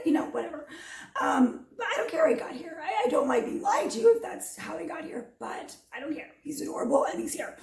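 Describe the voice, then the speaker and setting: Raspy, high-voiced woman ranting at home. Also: a little mellow. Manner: blunt, rambling, frequent ums. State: hoarse from allergies. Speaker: vlogger. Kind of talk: opinionated casual commentary. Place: home during quarantine. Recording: echoey room with music behind.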